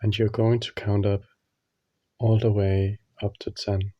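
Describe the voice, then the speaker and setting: A man guides a meditation in a calm, soothing manner. His voice is lowish and very even, with little melodic movement, and he leaves long pauses between phrases. The recording is dull and slightly muffled. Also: no room sound.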